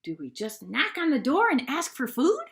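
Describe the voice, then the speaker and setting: A woman voicing an upset male rooster in a children's storybook, loud and high. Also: wildly swooping pitch, moderate pace, gravelly, noisy background, exaggerated voice. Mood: curious. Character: upset male rooster facing the dinner pot.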